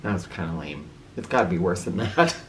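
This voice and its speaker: A woman reading a children's story aloud in a theatrical, expressive way, with an informal touch. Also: extremely low pitch, fairly quick, a little hiss or hum. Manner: theatrical, with informal asides to listeners.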